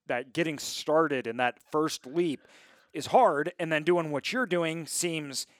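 A man's voice: fairly high pitch, slow pace, no room sound, studio quality. A man hosting a casual podcast interview, talking conversationally with his guest. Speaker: podcast host.

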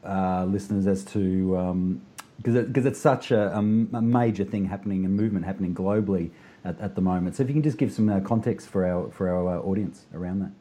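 A man's voice: low voice, fairly quick, mellow. A man hosting a coaching podcast, introducing a guest and asking long, hesitant questions. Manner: hesitant, many fillers and restarts when asking questions. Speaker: podcast host. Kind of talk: podcast interview.

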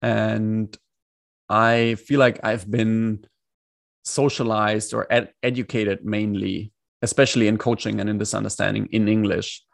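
A man's voice: lowish voice, level tone, measured pace, vocal fry. A man whose first language is German, speaking as a coach in a casual video-call interview, reflective and hesitant, often restarting his sentences. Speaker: coach, guest.

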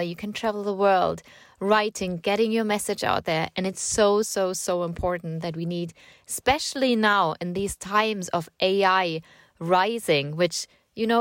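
A woman's voice: medium pitch, dry recording. A woman in her thirties with a slight German accent touched by American and British, hosting a solo podcast in a casual, rambling, chatty way that often repeats words. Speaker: podcast host and writing coach. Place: home in the countryside.

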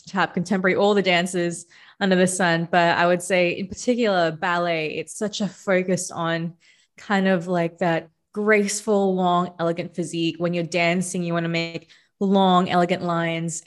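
A young woman from the Philippines, a podcast guest, telling her own story in a relaxed, reflective way with many fillers. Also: on the low side. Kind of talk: casual interview storytelling.

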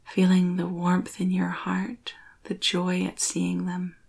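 A woman guides a spiritual meditation in a breathy near-whisper. Her voice is husky with a frequent soft creak, and her intonation stays very even. She speaks soothingly, leaving long pauses between short phrases. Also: fairly low pitch.

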